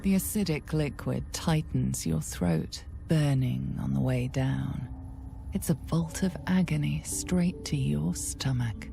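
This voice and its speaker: Low, creaky, ominous fantasy narrator. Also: female voice, music in the background, full character voice. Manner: hushed, deliberate, dramatic. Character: grave, ominous female storyteller of a dark fantasy. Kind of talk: scripted second-person game narration.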